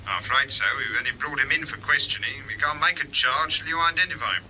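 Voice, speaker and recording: An actor playing a brisk, authoritative police inspector in a radio drama, speaking in an official manner. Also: male voice, mid-range voice, sharp tone, slight background noise, rough recording. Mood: urgent, official.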